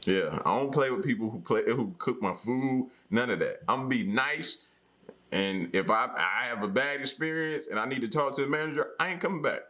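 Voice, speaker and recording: A man's voice: medium pitch, fairly quick, bright, forceful tone. An American man co-hosting a podcast, chatting casually and telling stories in a slangy, joking way. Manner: colloquial, slangy, joking storytelling. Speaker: podcast co-host. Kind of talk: casual conversational chat.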